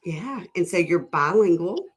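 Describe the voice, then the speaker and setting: A woman's voice: fairly low pitch, lively intonation, a little slow, breathy edge. A woman hosting a casual video call interview, asking upbeat, loosely rambling questions. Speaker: podcast host, realtor.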